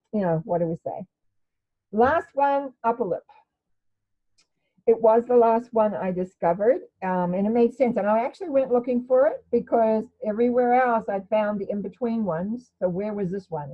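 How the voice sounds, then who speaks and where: An older woman teaching an energy-healing lesson to her webcam from her living room, talking loudly and emotionally with frequent restarts. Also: fairly low pitch. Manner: loud, warm, emotional, with many restarts and fillers. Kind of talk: casual spoken lesson to webcam. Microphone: webcam at desk. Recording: slightly dull lo-fi webcam audio.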